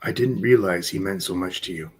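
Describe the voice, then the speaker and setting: A man playing a gentle, attentive ship's counsellor, soothing and probing, in a sci-fi radio theatre read. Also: low voice, brisk, slightly rough.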